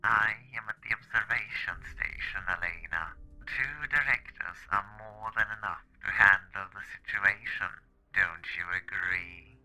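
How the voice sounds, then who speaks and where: Slow, deep, commanding cold director, acted by a man. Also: bright, forceful tone, faint music behind, heavily acted, poor audio. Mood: cold, dismissive. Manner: curt, commanding, dismissive. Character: cold, imperious male laboratory director. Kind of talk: English fan dub of a game story.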